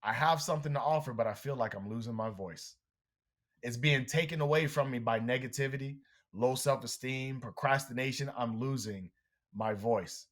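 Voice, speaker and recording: A man who is a guest on a podcast, giving an energetic motivational talk full of storytelling and speaking straight to the listeners. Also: medium pitch, normal speed. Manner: animated, energetic, addresses listeners directly.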